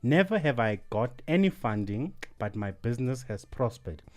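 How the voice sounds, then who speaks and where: A man's voice: mid-range voice, big pitch swings, normal speed, darkish tone. A man hosting a radio show, reading out listener messages and giving upbeat sign-offs. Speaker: radio host.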